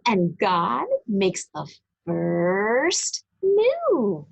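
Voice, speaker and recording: Female read-aloud storyteller, higher-pitched with a husky, creaky edge, deliberate and emphatic delivery for young listeners. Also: rough recording. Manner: deliberate, emphatic phrasing with pauses. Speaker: children's story reader. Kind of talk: slow, expressive read-aloud of a religious children's book.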